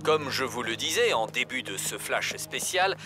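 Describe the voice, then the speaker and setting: A man's voice: mid-range voice, animated, moderate pace, over loud music, lightly acted. A man voicing a dramatic television news reporter in a French children's cartoon. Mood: urgent, dramatic.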